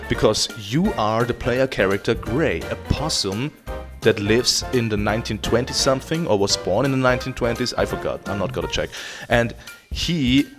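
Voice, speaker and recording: A man giving a casual, profane game review in an animated, theatrical, self-deprecating way. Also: on the low side. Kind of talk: casual, profane review commentary over gameplay. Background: background music.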